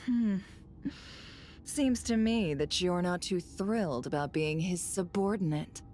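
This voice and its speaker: Lady voicing teasing menacing game woman, really drawn out slow talking, bit of music. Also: on the low side, mellow, natural voice. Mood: mocking, menacing. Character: cool, mocking, quietly threatening woman interrogator. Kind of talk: video game voice acting. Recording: music behind the voice.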